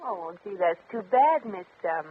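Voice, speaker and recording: Worldly, wisecracking female drifter played by a woman, breezy, animated delivery, for 1950s radio comedy with thin, phone-like sound. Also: medium pitch, normal speed, natural voice. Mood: sympathetic, casual. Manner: flip, streetwise, casual. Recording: crackly, tinny old radio recording.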